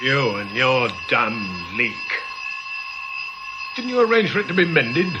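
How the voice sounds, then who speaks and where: An actor playing a greedy, sardonic gang member in a radio drama, blunt and needling. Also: male voice, medium pitch, very animated, slow. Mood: accusing. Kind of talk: British radio drama acting. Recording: dull, noisy old radio recording.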